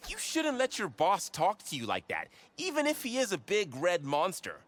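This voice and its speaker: An innocent young kid in a comic game. The voice is sincere and extremely expressive in pitch, with clear music underneath. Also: female voice, normal speed. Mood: earnest. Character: naive, earnest, kind-hearted kid hero. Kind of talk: comedic video game acting. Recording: music behind the voice.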